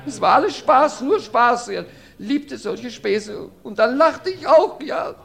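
A broken, cowering brother in a German radio crime play, cross-examined in court. He answers haltingly and defensively, then pleads desperately. His voice sits high and swings widely. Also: animated, gravelly, a bit bright, full character voice. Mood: desperate pleading. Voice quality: halting, pleading, breaks into sobs. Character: George Fenwick, crippled, cowering, desperate brother. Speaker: witness under cross-examination.

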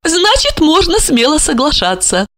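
A woman voicing a playful, coquettish young rich woman, teasing in a high sing-song voice. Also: huge pitch swings, moderate pace, a bit bright, no room sound, studio quality, exaggerated voice. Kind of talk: audiobook character reading.

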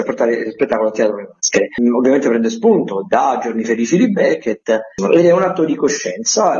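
A man giving a semi-improvised radio round-up of events as a critic, with hesitant, drawn-out phrasing. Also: medium pitch, darkish tone. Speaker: theatre critic reporting a weekly events agenda. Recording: echoey, dull lo-fi radio feed.

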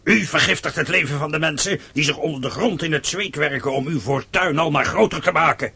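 An actor playing a sly, defiant poor peasant father in a radio drama, raspy, mocking and resentful. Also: fairly high pitch, fairly quick, pushed and bright, dry recording, full character voice. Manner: mocking, resentful, feigning innocence.

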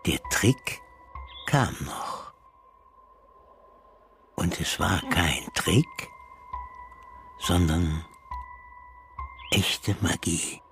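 A low-voiced man narrates a haunted childhood memory for a radio play. His delivery is gravelly and very unhurried, with deliberate pauses, and music runs beneath him. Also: lively intonation, fairly bright tone, exaggerated voice. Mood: haunted, measured. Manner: slow, deliberate storytelling with pauses. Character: brooding man haunted by his brother's disappearance, recalling childhood. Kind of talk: radio drama first-person narration. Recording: music under the voice.

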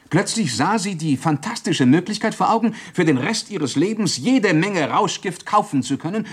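Shrewd, husky police inspector. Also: male voice, a little high. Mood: pressing, triumphant. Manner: formal, deliberate, insistent questioning. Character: shrewd, methodical police inspector confronting a suspect. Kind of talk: 1960s German radio crime drama acting.

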